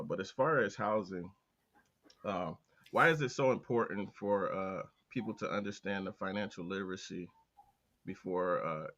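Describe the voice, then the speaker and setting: A man hosting a podcast, talking in a low-key, unhurried way with frequent filler words, with an American Midwest accent. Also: a bit bright, highs cut off. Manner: low-key, slow, hesitant with many "uh" fillers. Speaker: podcast host. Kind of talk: casual interview talk.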